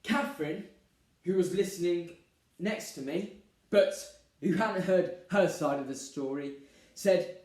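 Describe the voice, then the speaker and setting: A man's voice: sits high, measured pace, pauses often, a little mellow. A young man playing a harried, put-upon groom in a dramatic stage monologue, theatrical, emphatic and exasperated. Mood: defensive. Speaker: drama student performer. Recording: very echoey room, distant microphone.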